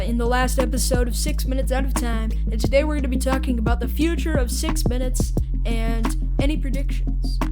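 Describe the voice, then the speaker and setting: High-pitched woman hosting a casual podcast. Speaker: podcast host. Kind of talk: casual review commentary.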